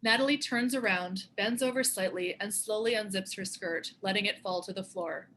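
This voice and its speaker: A woman's voice: medium pitch, normal speed, pushed and bright, slight background noise. A woman narrating the stage directions of a screenplay in a scripted read.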